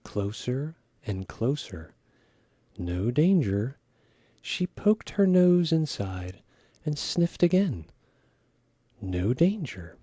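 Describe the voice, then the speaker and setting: A man reading a children's story aloud with expressive narration. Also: mid-range voice, slow pace, pauses often, husky, dry recording, clean studio sound.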